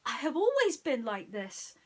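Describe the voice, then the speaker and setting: A timid little alien toy, voiced by a woman for a bedtime story. It speaks in a high, slow voice, halting and unsure, and lets its sentences trail away. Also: wildly swooping pitch, a little mellow, exaggerated voice. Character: timid, hesitant two-eyed toy alien, an outsider.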